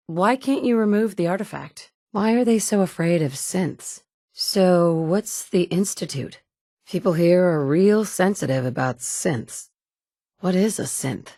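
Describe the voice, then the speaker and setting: A woman's voice: fairly low pitch, darkish tone, no echo. An actress voicing a wry woman survivor from before the war, blunt and sardonic with caring moments, in game dialogue recorded on a studio mic. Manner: dry and sardonic, shifting between blunt and caring. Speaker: player character.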